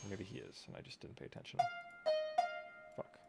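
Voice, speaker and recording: A man livestreaming a video game, muttering casual commentary to himself with sarcastic grumbles and plenty of swearing. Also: normal speed. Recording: loud game music behind the voice. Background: loud video game music.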